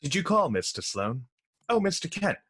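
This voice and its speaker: A deferential, flustered butler played by a man in a radio mystery. His husky voice swings widely in pitch as he falters politely through his lines. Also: very animated, normal speed, a bit bright, heavily acted. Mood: shocked. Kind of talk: radio mystery drama acting.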